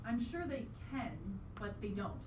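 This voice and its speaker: Woman acting earnest mother, distant and high-voiced. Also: animated, a little slow, background noise. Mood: firm, patient. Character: earnest, determined mother on a bog outing with child. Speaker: stage actor. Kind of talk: live radio play acting. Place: live theatre performance in a venue. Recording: roomy distant live stage recording, loud music.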